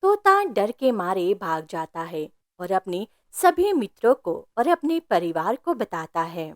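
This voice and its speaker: A woman's voice: medium pitch, big pitch swings, a little slow, no room sound, clean studio sound. A woman reading scripted narration for a children's cartoon story as its narrator.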